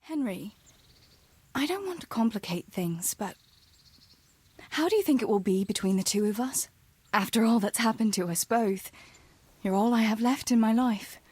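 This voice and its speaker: An actress playing a tender, gently teasing young village woman in a medieval video game, soft-spoken and earnest. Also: on the high side, expressive, moderate pace, pauses often, breathy edge. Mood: tender, worried. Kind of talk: naturalistic video game dialogue acting.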